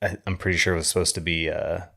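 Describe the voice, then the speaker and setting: A deep male voice in a casual film discussion. He muses as a co-host, circling around his points as he works through an idea. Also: little pitch movement, fast, creaky, bright, forceful tone. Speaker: podcast co-host discussing films.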